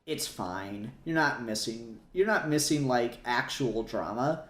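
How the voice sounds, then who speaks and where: A man's voice: mid-range voice, expressive, a little slow, fairly bright tone. A man in casual, sweary fan discussion as a podcast co-host, rambling along with emphatic repetition. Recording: roomy echo, faint music behind.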